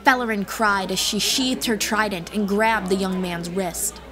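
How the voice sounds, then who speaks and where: A woman's voice: mid-range voice, a little slow, crowd noise. A woman reading scripted fantasy audiobook narration as the storyteller, with music behind her. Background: background music.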